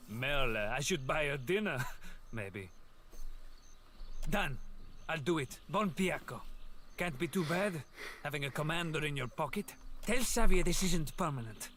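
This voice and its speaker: A man's voice: a little high, expressive, measured pace, fairly bright tone, a little hiss or hum. An actor playing a cocky young male street gang boss in a video game, speaking with a menacing drawl. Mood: amused. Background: game music.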